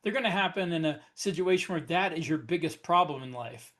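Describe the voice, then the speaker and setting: Blunt man with a higher voice. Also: expressive, fairly quick, a bit bright. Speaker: podcast co-host, author. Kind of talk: casual panel chat.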